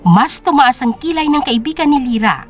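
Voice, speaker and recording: Lady narrating a drama story, high voice, super lively intonation, music underneath. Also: moderate pace.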